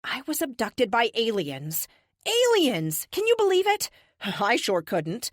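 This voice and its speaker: Woman acting high, sassy, animated heroine. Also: takes it slowly, no echo, studio quality, heavily acted. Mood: gleeful bluffing. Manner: sarcastic, animated. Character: sassy, flippant American heroine, theatrically bold bluffer.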